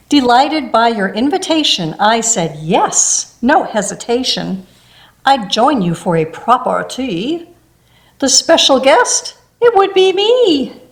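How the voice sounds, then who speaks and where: A woman reading a rhyming children's picture book aloud in a gentle storybook manner. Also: on the high side.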